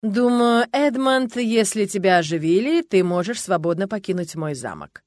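A woman voicing an imperious, calm male dragon lord in an audiobook, commanding and deliberate. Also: low-pitched, clear, resonant tone, no echo, studio quality. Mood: benevolent.

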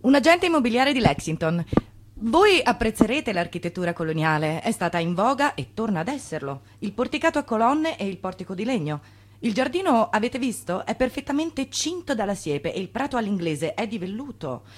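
Showy estate agent, very animated. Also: female voice, fairly high pitch, fairly quick. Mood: salesy, effusive. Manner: theatrical sales patter. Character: brisk, showy female estate agent pitching a house. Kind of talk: Italian radio play acting.

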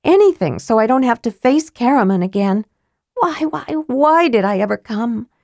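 A woman voicing a gloomy, self-pitying young woman, high and whiny. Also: lively intonation, moderate pace, a little mellow, dry recording, studio quality. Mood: miserable.

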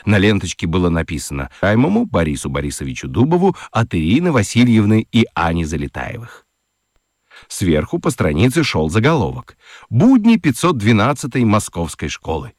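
A man narrating a children's radio play as an expressive, scripted storyteller. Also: on the low side, normal speed, no room sound, studio quality.

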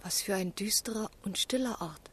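Brief: a gentle, sorrowful eighteenth-century young woman, extremely breathy and almost whispering, mid-range pitch. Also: normal speed, no echo. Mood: hushed, melancholy. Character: tender, melancholy young woman of the 1770s. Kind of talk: literary radio drama.

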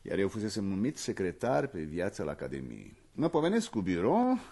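An actor playing an anxious older academician in a radio play, confiding and justifying himself in a theatrical, worried manner. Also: male voice, low-pitched, huge pitch swings, creaky, mellow, breathy edge, full character voice. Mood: confiding, weary.

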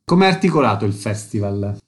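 A man's voice: lowish voice, big pitch swings, fairly quick. A man hosting a radio interview show, making concise, orderly announcements and putting short questions to guests.

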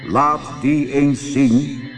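A man voicing an alien spaceship captain in a storybook, with slow, deliberate, drawn-out speech and an easily alarmed temper. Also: medium pitch, steady tone, a bit bright, faint music behind. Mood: slow, commanding.

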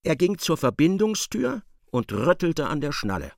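A man giving expressive, scripted literary narration in a radio play. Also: on the high side, normal speed, gravelly, dry recording, clean studio sound.

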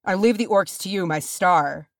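A woman's voice: lowish voice, normal speed, no room sound, clean studio sound, natural voice. A woman voicing a grim male dwarf leader in a fantasy story, terse and snapping out orders. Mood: urgent command.

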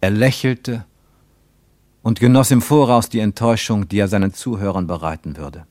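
Low, dry-sounding male literary narrator. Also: normal speed, darkish tone, no echo. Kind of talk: scripted literary narration for radio.

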